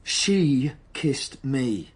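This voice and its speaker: Cornered thirties suspect, husky voice, animated pitch, evasive, terse, defiant, naturalistic radio drama. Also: male voice, drawn-out words, full character voice. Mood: defensive. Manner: terse, defiant, hesitant under pressure. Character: defensive, evasive male suspect in his thirties under questioning.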